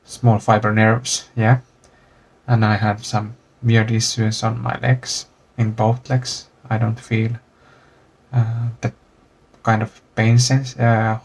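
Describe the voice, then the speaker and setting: A man in his thirties from Finland, telling his own story as a patient, halting and searching for words. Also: medium pitch, darkish tone. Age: 30s. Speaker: patient giving testimony. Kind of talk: recorded personal testimony.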